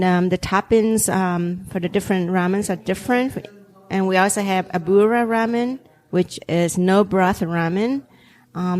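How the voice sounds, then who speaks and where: A woman's voice: lowish voice, darkish tone. A woman who owns restaurants, whose first language is Vietnamese, chatting in an interview with frequent ums and easy laughter. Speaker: restaurant owner. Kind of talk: casual interview chat.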